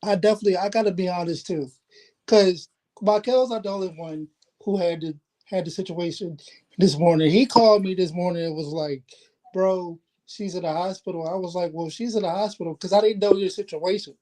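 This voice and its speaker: Mellow-voiced encouraging male co-host. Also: high-pitched, fairly even intonation, brisk, poor audio. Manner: warm, encouraging, repeats words as he talks. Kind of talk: casual chat. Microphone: video call.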